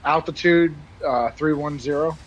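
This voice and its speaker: A man's voice: on the high side, measured pace, muffled recording. A man with a Baltimore accent chatting casually and bluntly as a guest on a flight-sim stream. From United States. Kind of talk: casual chat. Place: voice chat on a game stream. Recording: steady background noise.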